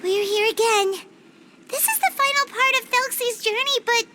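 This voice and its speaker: A woman voicing a small, bubbly, earnest fairy companion in a video game, with a squeaky childlike voice and theatrical delivery. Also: moderate pace, bright, forceful tone, faint music behind. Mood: earnest, wistful. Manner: theatrical, refers to self by name.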